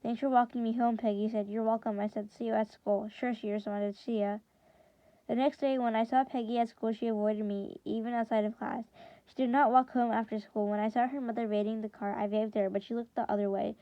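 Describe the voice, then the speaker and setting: A woman's voice: a little high, fairly even intonation, brisk, dry recording, lo-fi sound. A woman reading a first-person story aloud in the manner of a classroom read-aloud, now and then stumbling and repeating words. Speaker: reader reading a book chapter aloud for students.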